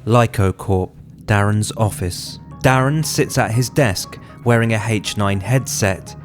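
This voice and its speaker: A man's voice: on the low side, unhurried, faint music behind. A man reading scripted screenplay narration in the present tense, as writer and narrator of an audio drama.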